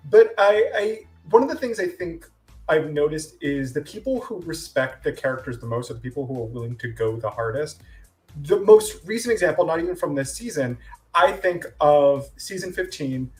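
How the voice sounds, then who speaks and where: A man co-hosting a casual review chat, giving measured, thoughtful opinions. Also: on the high side, animated, soft background music. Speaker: podcast co-host.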